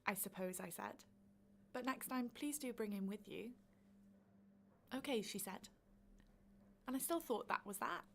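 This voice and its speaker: An actress as an older woman neighbour, chatty and a little nosy, yet kindly. She tells her tale in a gossipy, anecdotal way, as a radio play monologue, in a mid-pitched voice. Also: measured pace, vocal fry, pauses often, a little hiss or hum. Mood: confiding.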